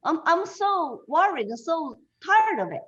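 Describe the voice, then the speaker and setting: A woman's voice: extremely high pitch, very animated, unhurried, a bit bright, dull audio. A woman from China with a Chinese accent, speaking as an interview guest in an earnest, emphatic way. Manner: earnest, emphatic, with frequent restarts. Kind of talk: passionate conversational interview.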